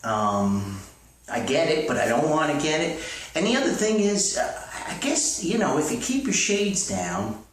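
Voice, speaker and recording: A man hosting a rambling comedic mailbag podcast, reading letters in a folksy, halting way with frequent digressions. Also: a little high, very animated. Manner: folksy, halting, repeats himself, digresses often. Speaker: podcast host and author reading fan mail. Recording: roomy echo, distant mic, faint noise.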